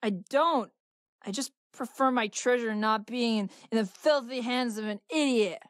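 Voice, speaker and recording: A woman's voice: on the high side, unhurried, no echo, studio quality, voice pushed up, lightly acted. A woman voicing a brash, scornful, drunken young woman in a visual novel, scolding bluntly. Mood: gruff, queasy. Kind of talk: dramatic visual novel read-aloud.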